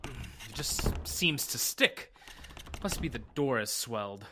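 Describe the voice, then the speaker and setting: A man playing a brisk, level-headed and skeptical attorney in an old-time radio drama. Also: medium pitch, animated, noisy background. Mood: matter-of-fact.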